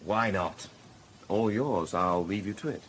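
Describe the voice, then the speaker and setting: A man's voice: sits low, measured pace, slight background noise. A man acting a candid hospital doctor in a radio drama, British and conversationally matter-of-fact. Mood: brisk, candid. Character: brisk, candid male hospital casualty doctor.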